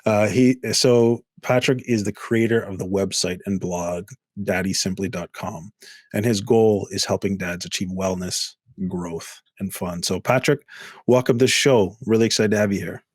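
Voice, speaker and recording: Deep-voiced, dry-sounding male co-host. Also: no room sound. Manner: thoughtful, measured, lots of "you know". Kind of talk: casual podcast chat on a video call. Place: indoor home room.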